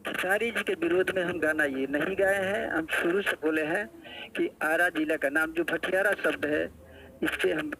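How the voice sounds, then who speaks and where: A man from Bihar with a Bihari accent, defending himself in a halting, repetitive way on a phone call. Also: a little high, sharp tone. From Arrah, Bihar, India. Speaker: singer being interviewed. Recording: noisy phone call recording.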